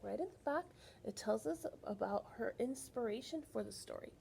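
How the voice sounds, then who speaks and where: A woman reading a picture book aloud to children, expressive, pausing to ask questions and explain like a teacher. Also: on the high side, gravelly, husky.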